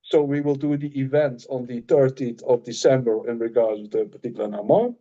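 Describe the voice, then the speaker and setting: A man with a Dutch accent, a non-native English speaker co-hosting a casual podcast, talking in halting phrases with frequent restarts. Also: mid-range voice, mellow, muffled recording. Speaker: podcast co-host and author.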